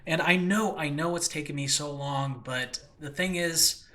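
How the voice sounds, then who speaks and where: Bright-voiced, excitable male podcast host. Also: on the high side. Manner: excitable, gushing, effusive; rambling, halting, self-interrupting. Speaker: host and creator of an audio drama channel. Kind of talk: casual solo podcast talk to listeners.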